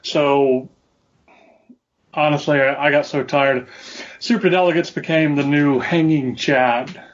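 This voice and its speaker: A man's voice: on the high side, fairly even intonation, takes it slowly. Wry, joking man from Alabama. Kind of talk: casual chat. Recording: dull lo-fi sound.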